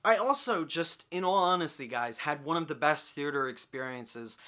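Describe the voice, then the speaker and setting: A young man delivers an enthusiastic review to his viewers. His voice sits a little high and has a sharp, forceful brightness. He speaks informally and emphatically, with excitable energy and the odd mild swear. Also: moderate pace. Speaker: movie reviewer. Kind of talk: enthusiastic video review to camera.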